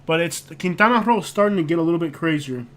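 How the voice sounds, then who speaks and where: A man's voice: on the high side, expressive, fast. A man hosting a casual livestream, rambling and chatting loosely with lots of filler words while he browses and reacts. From United States. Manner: rambling, heavy on fillers like "like" and "you know". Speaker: livestream host. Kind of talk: casual live-stream chat while browsing street views.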